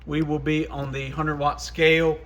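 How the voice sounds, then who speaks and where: A man's voice: a little high, flat delivery, normal speed, fairly bright tone. A man presenting a casual, hands-on product demo, talking informally to viewers as a shop owner would. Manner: informal, addresses viewers as guys.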